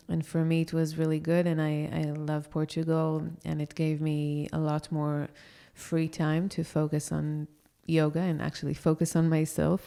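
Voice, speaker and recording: Woman guest from Israel, yoga teacher, smooth creaky low voice, pauses a lot. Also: warm tone. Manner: thoughtful, hesitant, many fillers. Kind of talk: casual interview talk.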